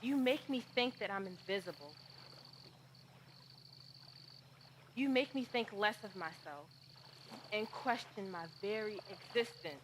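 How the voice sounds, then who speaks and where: A woman performing a dramatic monologue as someone wounded and defiant who feels invisible, speaking deliberately and emphatically with pleading pauses. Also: mid-range voice, a little hiss or hum, lightly acted. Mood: wounded, accusing.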